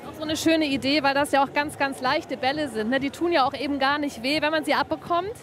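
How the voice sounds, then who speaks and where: A woman's voice: sits high, steady tone, fast talker, a bit bright, faint music behind, a faint crowd. A woman reporting on location for TV from a museum ball pit, chatty and often adding tag questions.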